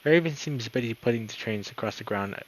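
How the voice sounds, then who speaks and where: A man's voice: mid-range voice, animated, a little fast. A man narrating a visual novel aloud for a let's play, occasionally stumbling and correcting himself. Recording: slightly dull mic, music behind. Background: clear game music.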